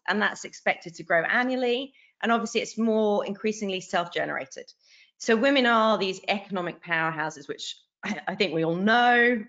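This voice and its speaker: A woman in her forties talks earnestly through a video call, animated and quick to restart her sentences. Her voice sits in a middle register. The webcam audio is dull and lo-fi. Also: mid-range voice. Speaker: author and guest. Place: bright home room.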